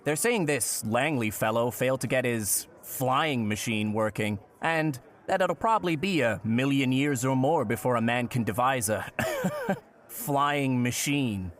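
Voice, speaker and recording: An actor playing a courteous, curious, old-fashioned gentleman traveler in a period audio drama, with polite and playfully florid speech. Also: medium pitch, expressive, measured pace. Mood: incredulous, amused.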